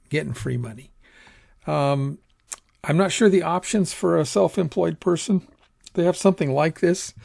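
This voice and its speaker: A man's voice: medium pitch, creaky, darkish tone, slightly rough. A man giving an informal lecture on personal finance, talking in a rambling, conversational way and correcting himself as he goes. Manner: conversational, rambling, self-correcting, acts out quoted asides. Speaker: teacher.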